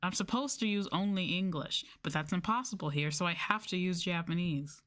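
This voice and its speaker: A young adult woman chatting casually on a livestream meant as soft ASMR, in a conversational, apologetic venting way. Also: fairly low pitch, brisk. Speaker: streamer, ASMR VTuber.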